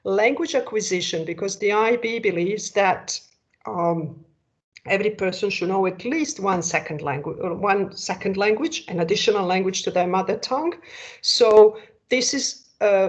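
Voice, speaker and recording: A woman presenting an online school information session, explaining patiently and asking rhetorical questions. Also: mid-range voice. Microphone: video call. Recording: dull lo-fi video call audio.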